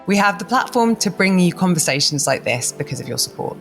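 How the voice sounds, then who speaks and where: A woman co-host reads a scripted appeal to listeners in a friendly, measured tone. Soft music plays faintly beneath her voice. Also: fairly low pitch, big pitch swings. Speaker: podcast co-host.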